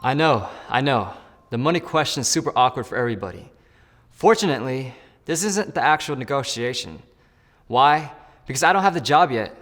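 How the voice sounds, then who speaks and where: A man's voice: medium pitch, lively intonation, fairly quick, fairly bright tone. Man explaining his interview steps in an echoey room. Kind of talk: scripted role-play with asides to the viewer.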